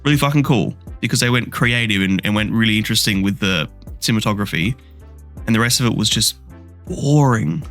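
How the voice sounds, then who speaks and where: A man's voice: fairly low pitch, fairly quick, fairly bright tone, faint music behind. Blunt, swearing man ranting about films. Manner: blunt, swears a lot, rants with rising energy. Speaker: podcast co-host. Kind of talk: casual movie review chat.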